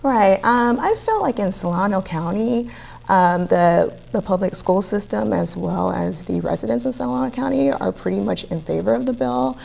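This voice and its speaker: A woman's voice: mid-range voice, lively intonation, normal speed, darkish tone. A woman from Virginia, a physician, answering interview questions in a casual way with some hesitation. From Virginia, US East Coast. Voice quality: gravelly, creaky. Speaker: physician, guest.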